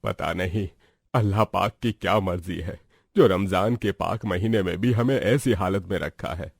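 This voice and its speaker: A man voicing a poor, weary middle-aged father in a cartoon story, humble, with a gravelly voice. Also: low-pitched, moderate pace, no echo, exaggerated voice. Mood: weary lament.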